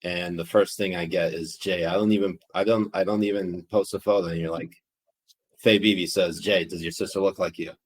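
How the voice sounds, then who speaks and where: A man's voice: low-pitched, steady tone, moderate pace. A man co-hosting a casual news podcast, rambling, profane and joking. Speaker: podcast co-host.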